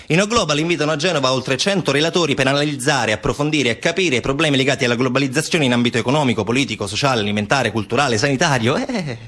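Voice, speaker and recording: A man's voice: medium pitch, rapid-fire, few pauses, a bit bright. A man performing a satirical theatrical narrative monologue as actor-narrator, ironic, with short declarative phrases and rhetorical questions.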